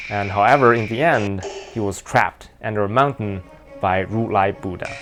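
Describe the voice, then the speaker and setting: Guy w chinese accent, mandarin native, lower voice, modest game lore commentary, soft music behind. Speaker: video creator, narrator. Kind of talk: explanatory game video commentary.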